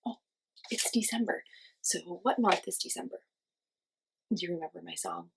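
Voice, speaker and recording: A woman teaching young children, giving simple encouraging instructions and asking questions, with pauses for answers. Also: lowish voice, vocal fry, noisy background. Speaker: children's class teacher and host. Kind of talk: children's lesson with guided movement.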